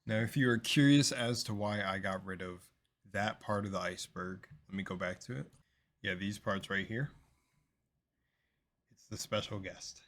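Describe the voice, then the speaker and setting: Young man, slight lisp, low voice, rambling reaction chatter, fillers, loud then mumbling. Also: normal speed, pauses often. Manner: rambling, filler-heavy, drifting between loud bursts and mumbles. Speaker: reaction video host. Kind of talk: casual rambling reaction commentary.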